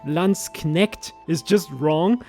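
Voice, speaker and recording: A man's voice: on the high side, extremely slow, mellow, faint music behind. A man from Germany with a German accent, casually explaining video game voice lines as a co-commentator.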